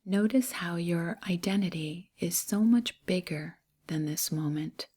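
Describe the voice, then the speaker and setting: A woman with a breathy, hushed, near-whispering voice gently guides a meditation in short, unhurried, soothing phrases. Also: on the low side.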